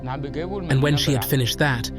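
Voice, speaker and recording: A man's voice: mid-range voice, unhurried. Man narrating testimony over prominent music.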